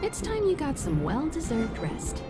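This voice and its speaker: A woman's voice: sits high, wildly swooping pitch, a little slow, heavily acted. A woman voicing a kindly, composed mentor in an anime-style video game. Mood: gentle, composed. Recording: loud background music under the voice.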